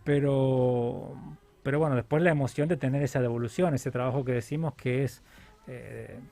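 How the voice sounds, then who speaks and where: A man's voice: medium pitch, steady tone, moderate pace, mellow. A man answering in a casual radio interview as a film director, searching for words and repeating them. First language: Spanish.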